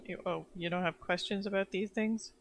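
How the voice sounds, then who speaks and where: A man doing casual live game commentary, calm and wry, asking bemused questions aloud as he plays. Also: sits high, moderate pace, creaky. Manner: even-toned and calm. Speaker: game streamer. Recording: noisy stream with game ambience behind.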